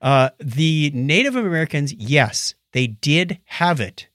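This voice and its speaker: A man's voice: fairly high pitch, lively intonation, slow, a bit bright, dry recording, clean studio sound. A man co-hosting a podcast in casual chat, recounting stories and acting out quoted remarks as he goes. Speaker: podcast co-host.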